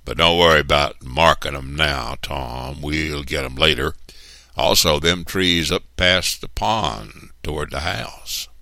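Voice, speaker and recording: Haughty Southern timber boss, performed by a male reader, folksy but threatening, deep and forceful. Audiobook villain. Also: a little slow, no echo, exaggerated voice. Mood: brusque, folksy. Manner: folksy drawl with veiled threats.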